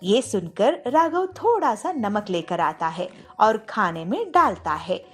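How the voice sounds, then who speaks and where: A woman narrating a scripted moral story for an animated children's video. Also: mid-range voice, slow pace, husky. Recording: music behind the voice.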